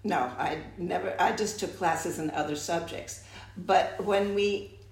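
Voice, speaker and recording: A woman's voice: lowish voice, unhurried. An older woman from the United States, answering interview questions reflectively and often restarting her sentences. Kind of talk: oral history interview. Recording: echoey room.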